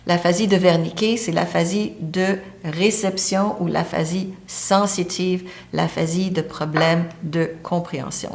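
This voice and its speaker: A woman's voice: on the low side, vocal fry. A woman lecturing on a medical topic, explaining step by step and pointing to a diagram. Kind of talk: teaching lecture.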